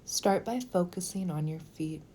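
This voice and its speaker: A woman leading a guided meditation in a slow, gentle, soothing manner, with phrases sometimes softening toward a whisper. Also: on the low side, a little hiss or hum.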